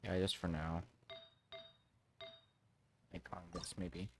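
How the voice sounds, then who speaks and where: A man's voice: deep voice, flat delivery, takes it slowly, noisy background. A man chatting casually as he streams a game, thinking aloud to himself. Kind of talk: casual muttering livestream gameplay chat.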